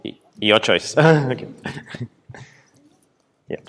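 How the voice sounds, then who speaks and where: A young man from Poland presenting at a festival hall and taking audience questions, relaxed and hesitant with many ums. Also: medium pitch, drawn-out words. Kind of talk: conference talk with audience Q&A.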